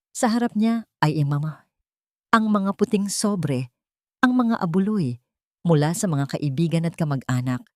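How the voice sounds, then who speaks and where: A woman reading a dramatic audiobook story in a solemn, deliberate way. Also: fairly low pitch, a little mellow, no room sound, clean studio sound. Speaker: audiobook narrator. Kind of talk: dramatic scripted story narration.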